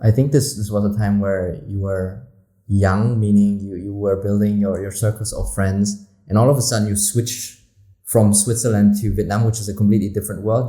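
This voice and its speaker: A man hosting a podcast interview, with a low, very dark and mellow voice. He asks questions in a friendly, unhurried way, filling gaps with "uh" and quiet "mm-hmm"s. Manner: friendly, many "uh" and "mm-hmm" fillers. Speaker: podcast host.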